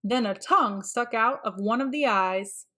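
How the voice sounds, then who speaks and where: A woman reading a picture book aloud for children as narrator, in an expressive, deliberate storytelling manner. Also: on the high side.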